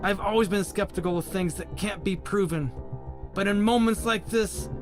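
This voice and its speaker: An actor playing an earnest, gentle male scientist in an audio drama, measured and pleading. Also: high voice, close to an everyday voice. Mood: earnest, hopeful. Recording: dramatic music underneath.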